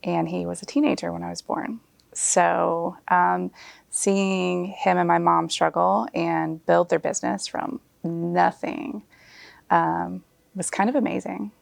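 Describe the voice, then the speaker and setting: A woman from a small town in Alabama, talking thoughtfully in an interview and often pausing on fillers. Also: on the low side, little pitch movement, creaky, no room sound. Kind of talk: interview to camera.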